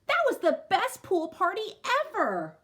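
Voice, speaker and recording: A woman's voice: very high-pitched, wildly swooping pitch, measured pace, a bit bright, voice pushed up, heavily acted. A woman voicing a chatty, outspoken schoolgirl who exclaims her lines in a storybook read-aloud. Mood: excited.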